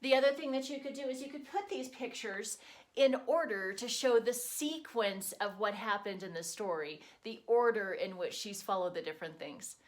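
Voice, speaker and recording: A woman's voice: mid-range voice, moderate pace. Patient woman teacher explaining an activity. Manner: friendly, patient, explains step by step. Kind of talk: instructions for an at-home activity.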